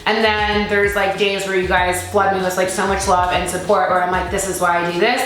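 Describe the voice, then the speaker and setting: A woman's voice: medium pitch, little pitch movement, a little fast, fairly bright tone. A young woman in her late twenties chatting casually and emphatically as a vlogger, peppering her talk with "like". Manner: chatty, emphatic, heavy use of "like". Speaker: vlogger, content creator. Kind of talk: casual chat Q&A to camera. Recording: very echoey room, music underneath.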